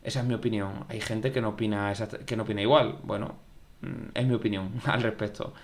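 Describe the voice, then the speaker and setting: A man's voice: on the low side, fairly even intonation, brisk, a little hiss or hum. A young man gives casual, conversational advice about programming studies, often repeating phrases and acting out viewer questions. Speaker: YouTube tech educator. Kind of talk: casual advice talk to camera.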